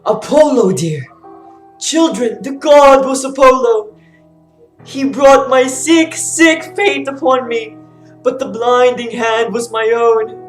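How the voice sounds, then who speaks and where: A woman's voice: on the high side, slow, echoey room, faint music behind, rough recording. A woman playing an anguished, self-cursing blinded king in a dramatic reading of Greek tragedy. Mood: despairing.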